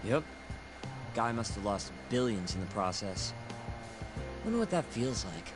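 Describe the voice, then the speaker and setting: An actor playing a cocky, easygoing young informant in a crime drama game, talking in a casual, teasing way. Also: male voice, fairly low pitch, sing-song, measured pace. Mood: smug. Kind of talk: video game voice acting, conversational. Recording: music behind the voice.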